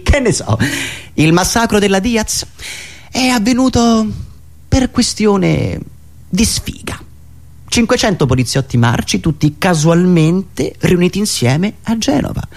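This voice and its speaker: A man performing a theatrical satirical monologue, ironic, with dramatic pauses and emphatic swings from hushed asides to raised delivery. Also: high voice. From Italy. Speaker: writer and performer of a satirical radio monologue show.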